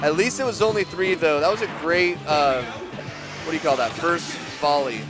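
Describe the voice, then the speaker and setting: A man's voice: a little high, moderate pace. A man giving casual live commentary on a video game match in short, reactive calls. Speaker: esports tournament co-commentator. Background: video game music.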